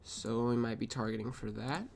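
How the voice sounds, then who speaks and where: Sniffly man, casual profane trade recap, mid-pitched, keyboard clicks behind. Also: fairly even intonation, moderate pace, creaky, a little mellow, a little hiss or hum. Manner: thinks aloud, profane, mutters to himself. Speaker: day trader reviewing his trades.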